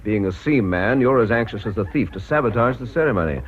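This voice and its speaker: Man playing smooth nosy presenter in a radio drama, lowish lively voice, slightly dull recording. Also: fast talker, close to an everyday voice. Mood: insinuating. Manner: polite, inquisitive, hesitant lead-ins. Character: probing, smooth-talking television presenter, a man. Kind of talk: British radio drama acting.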